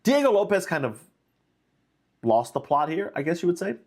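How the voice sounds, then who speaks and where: A man's voice: sits high, big pitch swings, normal speed. Stuffy-nosed man rambling about fights. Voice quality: nasal. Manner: rambling, with many fillers and restarts. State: under the weather, nasal. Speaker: host. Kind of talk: casual live-stream fight reaction.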